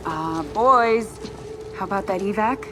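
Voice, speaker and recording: An actress playing a tough soldier stranded in battle in a video game, urgent and alarmed, using military radio phrasing. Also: female voice, medium pitch, a little slow, background noise, close to an everyday voice. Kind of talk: video game cinematic acting. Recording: music behind the voice.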